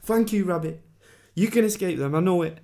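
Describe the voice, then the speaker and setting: A man's voice: sits high, brisk, creaky, dark tone. A man playing an eccentric, loyal companion in a fantasy radio drama, with a wry, rambling manner. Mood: concerned.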